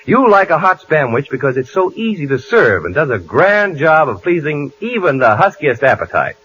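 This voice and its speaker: A man's voice: fairly high pitch, big pitch swings, moderate pace, a bit bright, slight background noise. A man announcing and playing comedy on 1940s radio in a bouncy, salesman-like manner. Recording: muffled 1940s radio broadcast.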